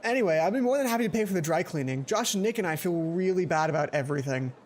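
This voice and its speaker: A man's voice: high-pitched, animated, quick pace. A young man voicing a friendly, apologetic teenage schoolboy in a visual novel, easygoing and a little teasing. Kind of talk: visual novel character reading.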